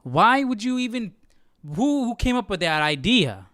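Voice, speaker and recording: A man's voice: high voice, very animated, unhurried, a bit bright, no echo, clean studio sound. A man hosting a live Bible study, reacting casually and incredulously, often repeating himself.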